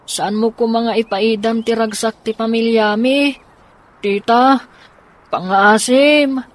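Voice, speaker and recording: A fairly high child's voice played by a woman in a radio drama. The child sounds upset and earnest, with a plaintive, insistent delivery. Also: steady tone, takes it slowly. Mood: pleading.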